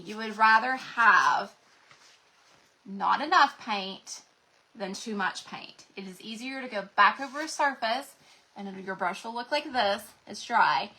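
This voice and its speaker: A woman's voice: a little high, bright, forceful tone, slight background noise. A woman, chatting folksily with viewers while demonstrating crafts live from home. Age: 40s. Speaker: craft channel host.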